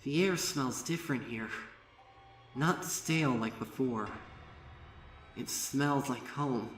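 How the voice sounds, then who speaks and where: A man's voice: medium pitch, takes it slowly, slight background noise, lightly acted. Man voicing Twilight Sparkle, an awestruck, frightened pony mare. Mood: awed, faltering. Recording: echoey, distant, music behind.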